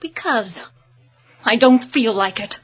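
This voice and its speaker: An actress plays an emotional woman lab assistant in a radio melodrama. Her voice sits high and her phrasing is halting and highly strung. The sound is dull and lo-fi. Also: slight background noise. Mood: bitter, menacing. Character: distraught woman lab assistant, fearful, turning bitter. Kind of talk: melodramatic radio drama acting. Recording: dull, lo-fi audio drama recording.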